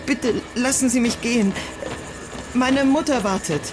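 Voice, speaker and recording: A woman's voice: fairly high pitch, measured pace, over music, lightly acted, rough recording. A woman playing a frightened young boy. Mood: pleading. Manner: scared, pleading. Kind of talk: radio play acting.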